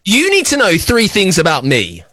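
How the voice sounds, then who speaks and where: A man's voice: high-pitched, normal speed, no room sound, clean studio sound. Playful man hosting kids' radio. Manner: teasing, boastful, exaggerated excitement. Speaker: children's radio host. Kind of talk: playful quiz game with a child caller.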